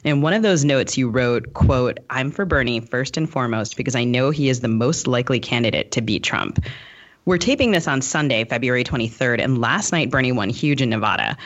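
A man's voice: fairly quick, a bit bright. A man co-hosting a podcast, putting prepared interview questions to a guest. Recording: slightly dull, low-fidelity sound.

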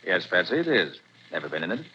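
An actor playing a calm, assured male detective who speaks in a measured, authoritative way in a 1940s radio drama. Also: sits low, full character voice. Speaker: private detective. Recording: dull 1940s radio recording, faint hiss.

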